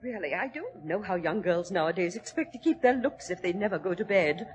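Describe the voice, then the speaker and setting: A woman's voice: on the low side, animated, brisk, slight background noise, natural voice. An actress in an old radio drama playing a fretful, genteel aristocratic mother who frightens easily. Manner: fussy, genteel, easily alarmed. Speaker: Lady Maynooth. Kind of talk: 1940s radio acting. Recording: old radio broadcast recording.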